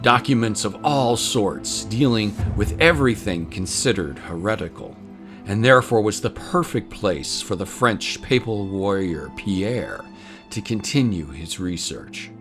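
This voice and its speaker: A man's voice: fairly low pitch, expressive, a little slow, a bit bright. A man narrating scripted dramatic fiction as a storyteller. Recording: music bed under the voice. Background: music.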